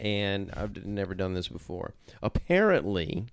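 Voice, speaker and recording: A middle-aged American man co-hosting a casual talk show, speaking in a halting way and often repeating his words. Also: low-pitched, very animated, smooth, no room sound. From Louisiana, United States. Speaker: show co-host. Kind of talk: casual chat, reminiscing.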